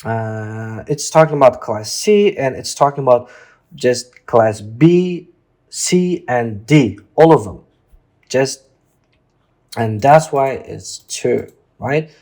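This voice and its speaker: Slow, halting male tutor. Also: medium pitch, expressive, darkish tone. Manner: halting, often checks with "right?". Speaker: English exam tutor. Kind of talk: informal lesson, walking through a reading test.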